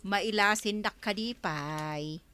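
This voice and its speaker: A woman's voice: mid-range voice, slow pace, bright, forceful tone, smooth. An actress with an Ilocano accent playing a kindly, helpful village councilwoman in a comedy drama. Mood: caring.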